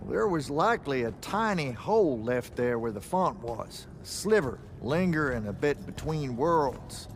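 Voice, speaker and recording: Salty, folksy veteran mage. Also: male voice, mid-range voice, very animated, unhurried, slight background noise. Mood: wry, matter-of-fact. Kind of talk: video game cutscene acting.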